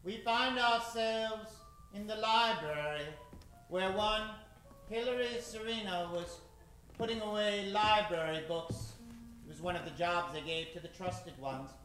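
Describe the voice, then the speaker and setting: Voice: medium pitch, unhurried, fairly bright tone. A narrator telling a story in a dramatic, theatrical old-time radio style. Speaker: narrator and announcer. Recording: very echoey live stage.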